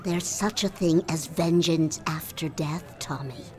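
A woman voicing an anxious, pleading circus wife in an audio drama, sometimes sinking to a hushed whisper. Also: sits low, unhurried. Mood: hushed fear.

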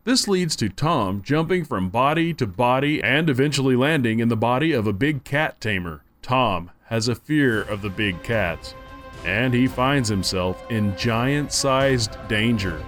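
A man's voice: mid-range voice, expressive, measured pace, a bit bright, faint music behind. A man giving an enthusiastic, conversational audiobook review. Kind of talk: casual spoken review.

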